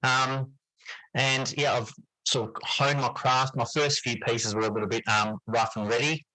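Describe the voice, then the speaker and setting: A middle-aged man talks as an interview guest, explaining things reflectively. His voice is bright and clear-edged, at an ordinary male pitch. The sound is dry, with very little room around it. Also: fairly even intonation, normal speed, no echo, low-quality recording. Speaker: interview guest, teacher and writer. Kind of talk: conversational interview answers.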